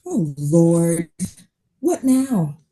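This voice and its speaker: A woman's voice: lowish voice, sing-song, very slow, dark tone, full character voice. A woman playing a stressed, wary, sardonic doctor in a naturalistic table read of a drama script. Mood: exasperated.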